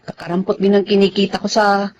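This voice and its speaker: A woman's voice: mid-range voice, flat delivery, fast talker, darkish tone, dull audio, a little hiss or hum, lightly acted. A woman acting in a Filipino drama as a fed-up, struggling wife and mother who nags and reproaches. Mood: frustrated, reproachful. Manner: nagging, reproachful.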